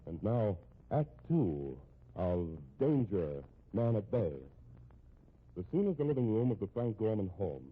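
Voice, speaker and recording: American male announcer, dramatic scripted narration, very lively intonation, tinny old radio sound. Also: on the low side, unhurried, a little hiss or hum.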